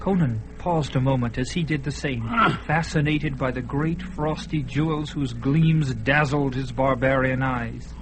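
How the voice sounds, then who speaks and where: A man narrating a vintage radio drama, building tension with hushed and rising passages. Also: mid-range voice, measured pace, hardly any pauses, rough recording.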